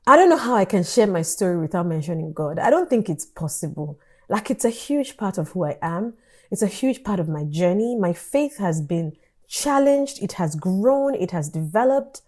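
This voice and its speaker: Nigerian woman chatting with a mellow voice. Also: moderate pace. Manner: animated, pidgin asides, acts out her past self with sudden high squeals. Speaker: YouTuber telling a personal story. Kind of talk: casual storytime chat.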